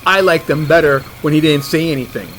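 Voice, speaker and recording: A man's voice: on the high side, brisk, music in the background, lightly acted, low-quality recording. A man playing a cocky, dismissive and stubborn monster hunter with a sarcastic manner.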